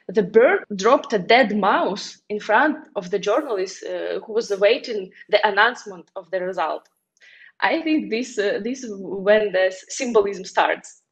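Belarusian woman doing an online talk, kinda halting but earnest, accented english, lo-fi muffled call audio. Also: mid-range voice. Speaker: activist speaker. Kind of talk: online conference presentation. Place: small indoor room, on a video call.

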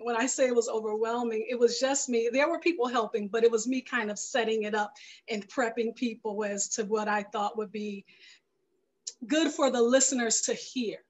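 Higher-voiced middle-aged woman telling her story. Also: low-quality recording. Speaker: guest filmmaker. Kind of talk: casual storytelling in an interview. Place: indoor room at home, video call. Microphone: headset on a video call.

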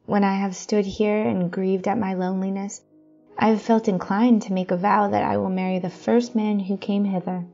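A woman playing a forthright, lonely princess in an audio drama, speaking archaic storybook English in a breathy voice. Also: medium pitch, flat delivery, normal speed, dark tone, highs cut off, soft background music, natural voice. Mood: wistful.

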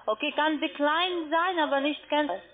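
High voiced woman call center agent, halting n pushy step by step, tinny phone audio. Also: crowd noise. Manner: halting, insistent, step-by-step instructing. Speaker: call centre agent for an online trading platform. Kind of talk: scripted-sounding phone sales instruction. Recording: muffled narrow phone line.

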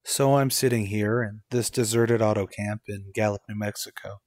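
A man's voice: lowish voice, steady tone, normal speed, darkish tone, dry recording, close to an everyday voice. An actor playing a haunted, unravelling young man in an old-time radio suspense drama, with a brooding, dramatic delivery. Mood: desperate, brooding.

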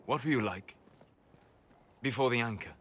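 A man playing a calm, reserved, scholarly elf mage in a video game, speaking formally in deliberate, measured phrases. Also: medium pitch, slight background noise, close to an everyday voice. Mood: earnest, thoughtful. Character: calm, scholarly, reserved elven mage, admiring yet condescending. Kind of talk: video game dialogue acting.

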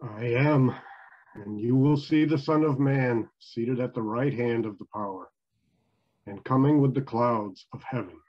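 Guy reading as solemn calm holy man in a church bible reading, husky, muffled call audio. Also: medium pitch, measured pace, lightly acted. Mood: solemn assurance. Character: Jesus, calm, solemn adult man facing accusers. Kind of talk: amateur church dramatic reading.

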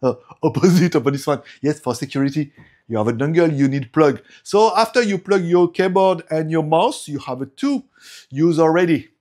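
A man from France with a strong French accent, native French, speaking English in a loose, broken way. His voice sits a little high for a man, and he chuckles between phrases while reviewing tech gear. He sounds like a friendly home vlogger. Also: normal speed. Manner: lively, laughs often, broken English. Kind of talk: casual product review.

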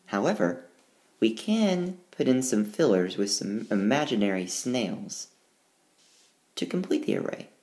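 Slow, sing-song male teacher. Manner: slow, sing-song rhyming read-aloud with lively pitch. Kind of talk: reading a picture book aloud and explaining math. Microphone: tablet screencast voice-over.

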